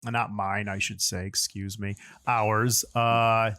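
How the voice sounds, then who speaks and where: A man's voice: fairly low pitch, level tone, slow, sharp tone, smooth. A man hosting a podcast in a casual, chatty style. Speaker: podcast host.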